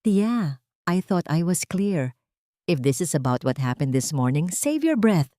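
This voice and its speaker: A woman voicing an angry, wealthy middle-aged man who shouts cold commands. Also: on the low side, very animated, unhurried, no echo, studio quality. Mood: cold dismissive. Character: harsh rich middle-aged father, furious.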